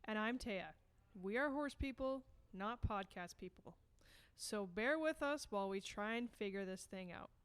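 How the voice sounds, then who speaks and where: A woman's voice: mid-range voice, lively intonation, a little slow, clear, resonant tone. A woman co-hosting a casual podcast, playful and jokey in her delivery. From Canada. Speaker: podcast co-host, horse trainer.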